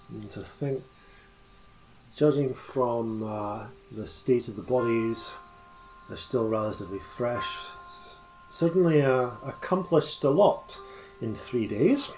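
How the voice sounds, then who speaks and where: A male game master narrates a tabletop game in a middling voice, often pausing on fillers. Faint music runs quietly behind him. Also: mid-range voice, poor audio. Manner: hesitant, many fillers.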